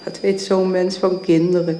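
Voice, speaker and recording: An actress playing a bitter, jealous, ailing wife in a Dutch radio drama, speaking in a weary, curt, sarcastic way. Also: female voice, lowish voice, level tone, normal speed, dark tone, close to an everyday voice. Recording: roomy, hissy old radio recording.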